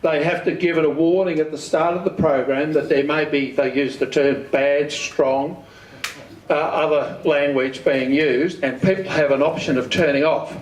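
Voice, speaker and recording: An Australian man with a slightly high-pitched voice gives an informal club presentation, recorded from a distance in a very echoey room. He is plain-spoken and often poses questions that he then answers himself. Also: steady tone, moderate pace, a little hiss or hum. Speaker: amateur radio club speaker. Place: community hall.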